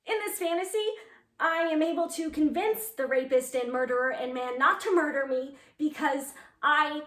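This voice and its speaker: A woman's voice: sits high, moderate pace, fairly bright tone, distant mic. A woman playing an earnest, eager playwright reliving her teenage speechmaking self, in a chatty, emphatic theatrical monologue addressed to an audience. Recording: echoey room.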